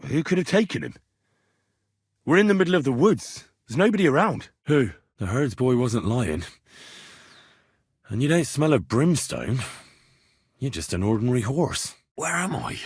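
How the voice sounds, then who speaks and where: A man playing a young, earnest, plucky medieval blacksmith turned adventurer in a video game, with a plain-spoken, expressive delivery. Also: mid-range voice, husky, dry recording, studio quality, full character voice. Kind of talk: video game voice acting, dramatic dialogue lines.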